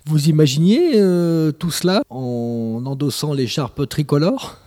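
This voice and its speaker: A man interviewing a village mayor, asking measured, formal questions. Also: fairly high pitch, dark tone, in a large hall.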